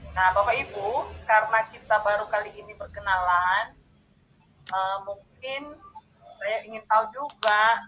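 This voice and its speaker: A woman's voice: medium pitch, unhurried, pauses often, sharp tone, faint music behind, low-quality recording. A woman in her fifties from North Sumatra leading an online class in a friendly way, often addressing her listeners directly over a video call. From North Sumatra, Indonesia. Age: 50s. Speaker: online training facilitator. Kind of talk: friendly discussion facilitation.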